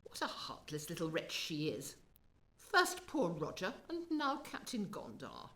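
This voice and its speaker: An actress playing a refined, judicious senior space officer in a radio play, speaking in formal, measured phrases. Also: female voice, low-pitched, huge pitch swings, a bit bright, heavily acted. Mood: disdainful.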